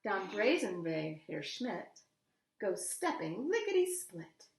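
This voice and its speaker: A woman's voice: medium pitch, slow, vocal fry. A woman with an American accent reads a picture book aloud expressively to young listeners, with casual asides. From United States. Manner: animated, addressing young listeners. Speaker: children's story reader. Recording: echoey room.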